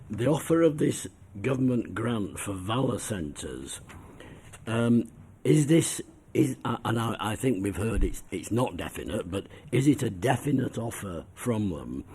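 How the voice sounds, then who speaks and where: A councillor with a heavy cold putting polite, deliberate questions to a committee. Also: male voice, fairly low pitch, a little mellow, breathy edge.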